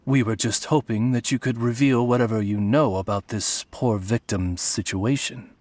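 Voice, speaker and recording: A man's voice: medium pitch, normal speed, a little mellow, no room sound, natural voice. A man voicing a loyal, courteous companion to a princess, speaking in formal, polished phrases. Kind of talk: video game voice acting.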